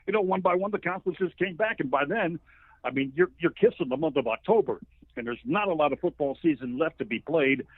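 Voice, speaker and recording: A man's voice: medium pitch, dry recording. A man in his sixties, a radio sports announcer, talking conversationally as an interview guest in a wordy, folksy storytelling way. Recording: narrow phone-like line.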